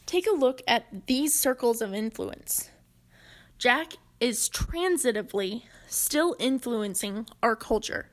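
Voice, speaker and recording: A woman presenting a prepared explanatory narration in a measured, deliberate way. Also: high-pitched. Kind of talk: prepared video-essay narration on comedy history.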